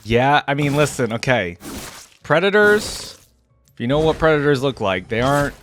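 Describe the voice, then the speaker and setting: A man's voice: mid-range voice, expressive, normal speed, fairly bright tone, faint music behind. A man hosting a casual reaction commentary, speaking informally and musing aloud. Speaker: reaction video host.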